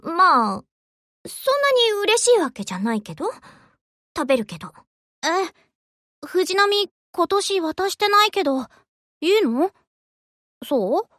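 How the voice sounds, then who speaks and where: A woman's voice: very high-pitched, big pitch swings, a little slow, pauses often, fairly bright tone, no room sound, studio quality, full character voice. Aloof, offhand anime teenage girl. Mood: aloof, bashful. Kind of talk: Japanese anime game voice acting.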